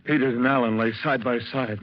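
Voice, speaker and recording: A man's voice: mid-range voice, fairly even intonation, brisk, natural voice. A man playing a weary, haunted shipwrecked sailor, telling his ordeal sombrely in a 1940s radio drama. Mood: weary, grim. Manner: sombre first-person storytelling. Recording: dull, muffled 1940s radio recording.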